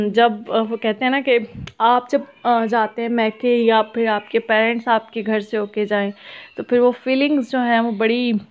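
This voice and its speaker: A woman in her thirties with a Pakistani accent, sounding sad as she gives a casual, halting vlog voice-over sprinkled with English words. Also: a little high, fairly even intonation. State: sad, downcast. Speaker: family vlogger. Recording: muffled phone-like narrow-band sound.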